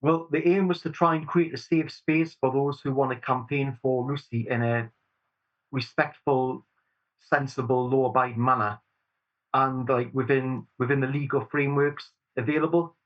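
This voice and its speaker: Geordie guy, 40s, talking earnest and measured, kinda dull lo-fi sound. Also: mid-range voice, fairly even intonation. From Newcastle upon Tyne, England. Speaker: campaign group chair, guest. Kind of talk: earnest interview answers.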